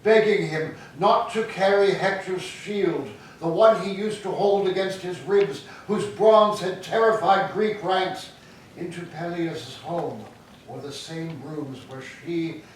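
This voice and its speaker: A sorrowful Greek herald, played by a man, speaks in a fairly high voice, measured and formal. It is a stage tragedy heard from a distance in a very echoey space. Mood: solemn, sorrowful. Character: sorrowful male Greek herald bringing grim news. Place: church, staged reading. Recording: very echoey hall, distant mic, some noise.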